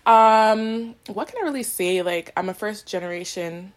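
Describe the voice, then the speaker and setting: A woman in her late twenties talks casually as a podcast co-host. Her delivery is playful and sassy, with slangy asides and repeated words for emphasis. Her voice sits at an ordinary pitch for a woman. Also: moderate pace. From Canada. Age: late 20s. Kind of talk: casual self-introduction, conversational podcast talk.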